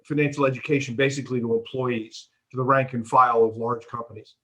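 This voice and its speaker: Middle-aged man, relaxed, plain-spoken, mid voice, video call. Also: mid-range voice, fast talker. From United States. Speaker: guest. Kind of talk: conversational answers on a video call.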